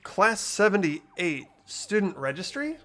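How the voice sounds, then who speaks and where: A man's voice: sits high, big pitch swings, slow pace, fairly bright tone, faint music behind, heavily acted. A man voicing an earnest, hopeful teenage boy in a game playthrough, with a questioning manner. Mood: puzzled. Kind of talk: voiced video game playthrough, dialogue and inner narration.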